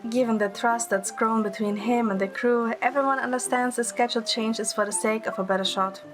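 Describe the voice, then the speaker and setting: A woman's voice: fairly high pitch, steady tone, a little fast, music in the background, close to an everyday voice. A woman playing a wry, affectionate young heroine who narrates her own romance in an audio drama. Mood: narrating. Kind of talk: first-person narration and dialogue in audio drama.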